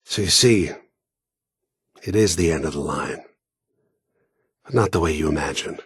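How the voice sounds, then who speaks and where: A man voicing a cold, world-weary mercenary killer in a video game, gravelly and husky, with a sardonic, deliberate, taunting manner. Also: rumbling low, no echo, clean studio sound, heavily acted. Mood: mocking, calm.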